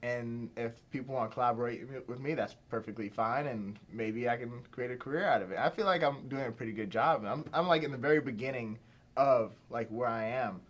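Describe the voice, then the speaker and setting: A man's voice: mid-range voice, brisk. A young man from Pittsburgh, a filmmaker guest chatting casually in an interview, rambling with lots of fillers and restarts. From Pittsburgh, USA.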